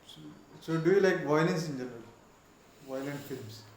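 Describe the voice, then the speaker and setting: Indian man, podcast host, friendly questions, slightly raised pitch, echoey. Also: moderate pace, darkish tone. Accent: Indian English. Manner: friendly, questioning, hesitant fillers. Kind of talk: casual chat. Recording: echoey room, faint noise.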